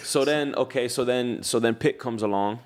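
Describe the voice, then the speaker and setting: A man hosting a podcast, interviewing in a casual, slangy way with halting, repeated phrases. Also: mid-range voice. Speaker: podcast host.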